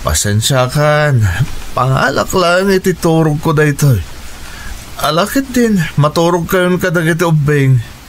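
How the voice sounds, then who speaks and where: A man with an Ilocano accent playing a jobless, dejected husband and father in a radio drama, halting and brooding as he speaks. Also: fairly high pitch, animated. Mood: apologetic, maudlin. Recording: noticeable background noise and ambience.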